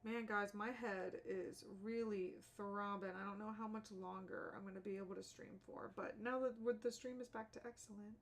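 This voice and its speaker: A woman's voice: mid-range voice, steady tone, normal speed, few pauses. A woman chatting casually on a live game stream in an informal, rambling way. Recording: game music behind the voice. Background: video game music.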